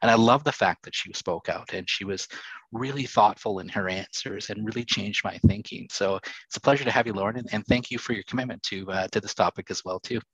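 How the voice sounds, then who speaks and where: A man's voice: fairly low pitch, a little fast, fairly bright tone, breathy edge, no room sound, poor audio. A man moderating a panel discussion in a conversational way.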